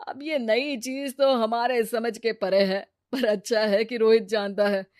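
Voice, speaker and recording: A woman's voice: fairly high pitch, steady tone, unhurried, darkish tone, no room sound, close to an everyday voice. Woman acting a trusting middle-aged parent, dry sound. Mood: admiring. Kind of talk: cartoon moral story acting.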